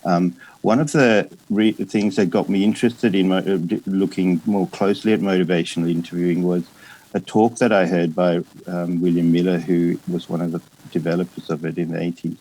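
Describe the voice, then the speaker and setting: An Australian man in his fifties delivers a conference lecture in a deep, mellow voice. His delivery is hesitant and halting, with many pauses and restarted words, and his intonation stays quite flat. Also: a little hiss or hum, low-quality recording. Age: 50s. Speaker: psychiatrist and addiction specialist giving a lecture.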